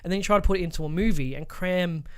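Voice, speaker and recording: A man's voice: high voice, racing, a bit bright, clear, resonant tone. A man co-hosting a podcast in casual, opinionated chat, emphatic and given to swearing. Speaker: podcast co-host.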